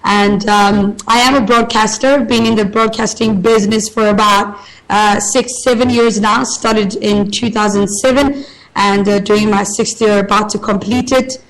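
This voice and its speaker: A woman's voice: mid-range voice, steady tone, a little slow, rough recording. Earnest young woman. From South Africa. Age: 20s. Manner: earnest, motivational, repeats phrases for emphasis. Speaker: radio broadcaster, guest. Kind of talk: radio interview.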